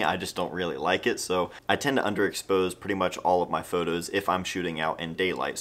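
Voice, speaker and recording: A man's voice: low voice, a bit bright. An American man in his thirties talking casually and conversationally to camera, explaining things in a laid-back way as a vlog host. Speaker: vlog host and film photographer.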